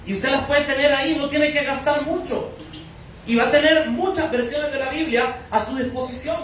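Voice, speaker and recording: High-voiced Salvadoran pastor in echoey hall. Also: male voice, fairly even intonation, moderate pace, a bit bright, a little hiss or hum. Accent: Salvadoran Spanish. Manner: teaching, asks and answers his own questions. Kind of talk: sermon. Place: church hall. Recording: echoey church hall from far off.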